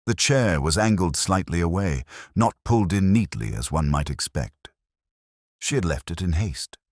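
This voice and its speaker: A man playing a melancholy, observant detective, narrating a mystery in a measured, literary, deliberate manner. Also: deep voice, lively intonation, no room sound, studio quality. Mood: pensive, uneasy. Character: melancholy, observant older male detective narrating his case. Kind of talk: scripted first-person mystery narration.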